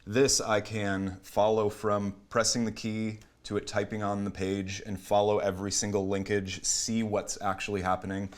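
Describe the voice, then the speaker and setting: A man's voice: lowish voice, little pitch movement, moderate pace, few pauses. A young man, a recent repair apprentice, explaining carefully in an interview. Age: young adult.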